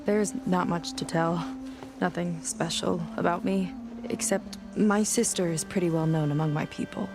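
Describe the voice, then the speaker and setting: An actress playing a proud, determined young naval petty officer in a video game cutscene, speaking with earnest intensity. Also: female voice, on the low side, steady tone, normal speed, close to an everyday voice. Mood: earnest, resolved. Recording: music behind the voice.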